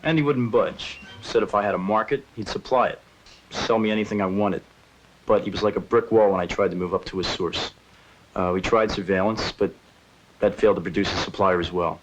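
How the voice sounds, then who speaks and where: A man acting as an easygoing American undercover agent who speaks informally and matter-of-factly. His voice is on the low side, over a faintly noisy 1970s film soundtrack. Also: a little fast, lightly acted. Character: easygoing male undercover army drug investigator.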